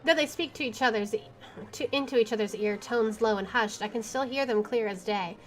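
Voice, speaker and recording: A woman's voice: a little high, brisk. A woman streamer reading visual novel narration aloud, expressively, with casual chatty asides. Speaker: video game streamer.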